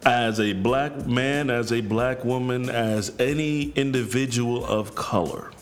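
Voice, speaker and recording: Podcast guest: unhurried deep-voiced man, frank, swears freely. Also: soft background music. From New York. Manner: direct, blunt, swears freely. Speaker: guest, counselor. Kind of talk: candid podcast conversation.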